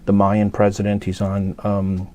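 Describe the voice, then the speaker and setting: Man, middle-aged, from new york, lower voice, rambling, casual guest talk. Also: monotone, unhurried, warm tone, a little hiss or hum. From New York City. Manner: rambling, many fillers and restarts, laughs often. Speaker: guest actor.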